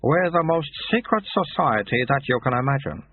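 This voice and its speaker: A sly, self-satisfied secret-order monk, put on by a male audiobook narrator, his voice raised and drawn out at a deliberate, teasing pace. It comes across tinny and phone-like, with a hint of huskiness. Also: mid-range voice, lively intonation, voice pushed up, natural voice. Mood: smug. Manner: smug, patient and slyly teasing. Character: mysterious dry-witted male recruiter monk.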